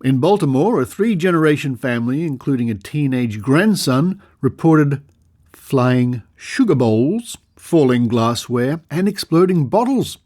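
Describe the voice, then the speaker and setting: Australian man hosting, mid-pitched voice. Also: expressive, a little slow, darkish tone. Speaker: podcast host. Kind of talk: narration, reading aloud with asides.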